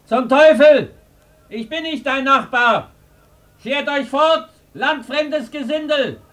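Shrill, hostile townsman. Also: slow, fairly bright tone, heavily acted. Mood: angry, scornful. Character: hostile, frightened townsman chasing outcasts away. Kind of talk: German radio play acting.

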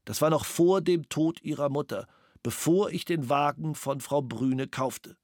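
An actor reading the witness statement of a former soldier and gunsmith, sober and matter-of-fact. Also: male voice, a little high, measured pace, darkish tone, no room sound, clean studio sound, lightly acted. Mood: flat, factual. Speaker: reader of interrogation protocols.

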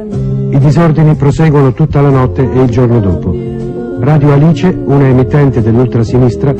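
A man's voice: mid-range voice, normal speed. A man reading a scripted news chronicle as narrator. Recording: muffled lo-fi, loud music underneath.

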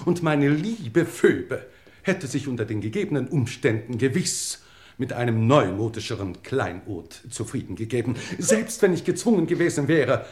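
An actor playing a haughty, suave English lord who speaks in a formal, elaborate way in an old German crime radio play. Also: male voice, mid-range voice, a little mellow, lightly acted. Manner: pompous, formal, elaborately worded.

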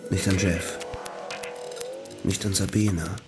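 A man's voice: low voice, steady tone, slow. An actor playing an irritable, drowsy man muttering his inner thoughts in bed in a radio drama. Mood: brooding. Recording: loud music behind the voice.

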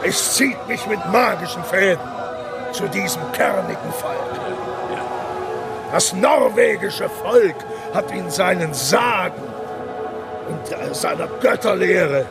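High-pitched, bombastic German emperor. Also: male voice, huge pitch swings, takes it slowly, vocal fry, over loud music, over a noisy crowd, exaggerated voice. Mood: exalted. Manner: declamatory, exclaiming, stammering repetitions. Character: jovial, bombastic German emperor, grandiose and pious. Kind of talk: radio drama acting.

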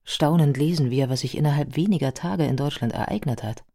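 An actress playing a thoughtful modern biographer, giving measured, articulate literary narration in a radio play. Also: female voice, deep voice, gravelly, dark tone. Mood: personal, wry.

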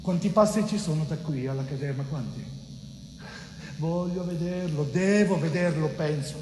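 A man performs a wrongly accused young father in anguish, in a stage monologue of short, urgent phrases. The male voice is high and breathless. It resounds with long reverb, and music plays behind it. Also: moderate pace, background noise, natural voice. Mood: urgent, hushed.

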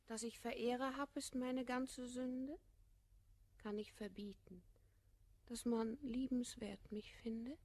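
Cool, teasing high-society lady in a verse radio play; higher-pitched, breathy, poised. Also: fairly even intonation, slow, darkish tone. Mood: coolly teasing. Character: coquettish, self-assured society lady, cool and imperious. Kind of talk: classical verse comedy radio play acting.

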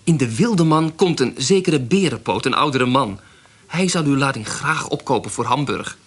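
Husky, fawning town magistrate. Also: male voice, mid-range voice, animated, normal speed. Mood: obliging, unctuous. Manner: unctuous, formal, gallant. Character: fawning small-town magistrate, flattering a young widow. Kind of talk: radio drama acting.

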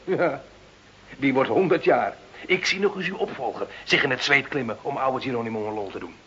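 A man's voice: mid-range voice, lively intonation, a little fast, a bit bright, lightly acted. An actor playing a boastful, cheeky Italian village father in a Dutch radio drama, with a mocking, wheedling, theatrical manner. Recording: dull, hissy old radio recording.

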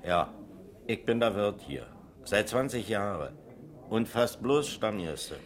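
An old-established corner-pub landlord in a radio crime play. He is a deep-voiced, folksy man with a Berlin accent, and his melody moves about a lot as he makes his points emphatically. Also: expressive, takes it slowly, heavy background noise. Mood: matter-of-fact. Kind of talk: 1970s German radio crime drama acting.

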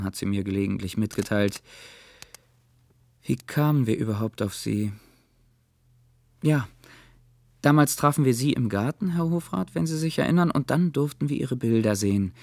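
A man's voice: medium pitch, animated, moderate pace, long pauses, darkish tone, lightly acted. An actor playing a dreamy, lovesick, polite young man in a radio play, musing and courteous. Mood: feigning casualness. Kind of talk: German radio play acting.

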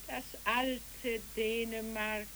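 An actress playing a stern older woman in a poetic German radio drama, measured and admonishing. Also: a little high, flat delivery, a bit bright, rough recording. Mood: distracted. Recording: clear tape hiss.

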